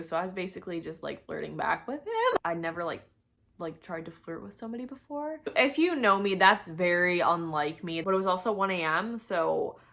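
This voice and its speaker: Lower-voiced young woman telling a casual story. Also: a bit bright. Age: young adult. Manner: chatty, informal, self-deprecating, acts out quoted dialogue. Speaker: storytime vlogger.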